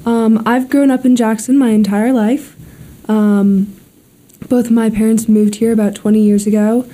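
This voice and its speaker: A teenage girl talking about growing up, relaxed with hesitant fillers. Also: fairly high pitch, normal speed. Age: teens. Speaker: student interviewee.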